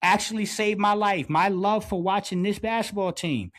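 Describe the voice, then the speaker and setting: A man's voice: high-pitched, moderate pace, a bit bright. A man in his forties telling stories in casual, slangy podcast style, emphatically repeating his phrases for effect. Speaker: podcast host, basketball coach.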